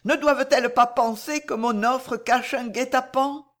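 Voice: high-pitched, steady tone, measured pace, voice pushed up. A woman voicing a guilt-ridden female spy in an audiobook novel, humble and imploring, with a strained, faltering delivery.

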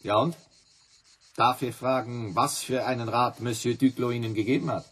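Radio drama role: sardonic hotel manager, husky mid-pitched voice, formal, condescending, suspicious. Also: male voice, fairly even intonation, normal speed, a bit bright. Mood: reproachful. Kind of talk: radio play dialogue.

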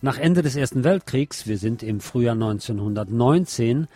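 A man's voice: mid-range voice, animated, normal speed, warm tone. A man giving a personal, opinionated film review in a conversational radio commentary style.

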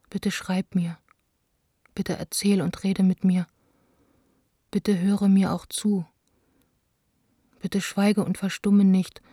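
An actress playing a young woman theatre director in a radio play, reading her letters aloud in a hushed, intimate, understated manner. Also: medium pitch, no echo, studio quality, lightly acted.

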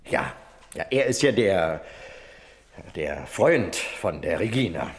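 A man's voice: low voice, very animated, slow, heavily acted. An actor playing an indignant, nosy male neighbour in a radio crime drama, fussy and hesitant, repeating himself. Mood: evasive.

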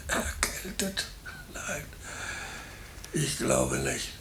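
Sick hospital patient, slow and very creaky, bitter and scared, hushed, echoey old radio play. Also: male voice, a little high, wildly swooping pitch, full character voice. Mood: agitated, bitter. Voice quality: hushed, gravelly voice. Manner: halting, brooding. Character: seriously ill male hospital patient, anxious, resigned, bitter. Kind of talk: 1950s German radio drama acting. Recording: echoey, faintly noisy old radio recording.

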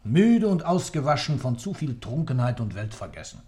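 A man's voice: medium pitch, big pitch swings, moderate pace, mellow, lightly acted. An actor playing an accused man who tells a story in an intense, theatrical, emphatic way, sometimes dropping to a whisper, in a literary radio play. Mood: somber narration.

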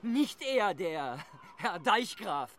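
An actor in a German radio play playing a bitter, mocking village rabble-rouser with a taunting, rhetorical delivery. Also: male voice, high voice, big pitch swings, very slow, a bit bright, full character voice. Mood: scornful, inciting.

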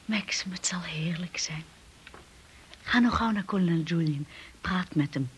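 A woman's voice: fairly low pitch, a little slow, close to an everyday voice. An actress playing a devoted, steadfast young wife and lady of the manor in a radio drama. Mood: supportive. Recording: noisy old radio play recording.

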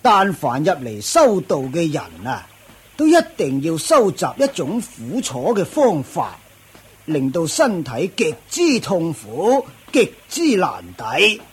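An actor playing a proud older ascetic master in a radio drama, with a husky, raspy voice, a drawling self-satisfied manner. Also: male voice, on the high side, wildly swooping pitch, slow pace, exaggerated voice. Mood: explanatory, proud. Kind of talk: Cantonese radio drama acting.

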